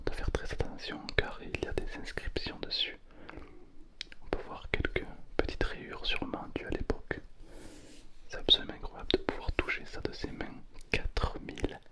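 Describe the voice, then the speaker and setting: Whispering male ASMR performer. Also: moderate pace. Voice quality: breathy, unvoiced whisper. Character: awed YouTube challenge adventurer showing ancient finds. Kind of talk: whispered ASMR roleplay storytelling.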